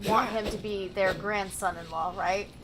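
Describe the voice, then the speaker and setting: A woman's voice: mid-range voice, fairly even intonation, normal speed, very noisy. A woman streaming a game, chatting casually with offhand, informal reactions. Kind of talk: casual live-stream commentary. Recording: loud game music behind.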